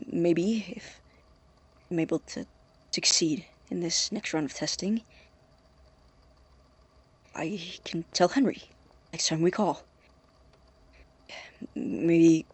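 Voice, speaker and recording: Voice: mid-range voice, a little mellow, slightly rough. An actor playing an obsessive, anxious young male researcher dictating experiment notes in an audio drama, in a precise, formal but hesitant way. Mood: wistful, unsure. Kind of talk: audio drama, spoken tape journal.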